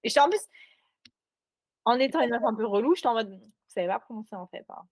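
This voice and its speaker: A woman's voice: a little high, lively intonation, fast, a little mellow, dry recording, clean studio sound. A woman co-hosting a casual book podcast, recorded remotely, talking in an emphatic, joking, slangy way. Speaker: podcast co-host. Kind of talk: casual chat.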